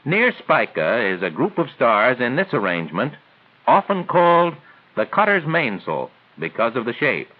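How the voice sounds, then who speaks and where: A man narrates in the formal manner of a 1940s military training film. His mid-pitched voice moves in animated contours as he explains each point in carefully scripted phrases. The sound is thin and boxed-in, like an old optical film track. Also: narrow, tinny audio. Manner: formal, didactic, measured phrasing.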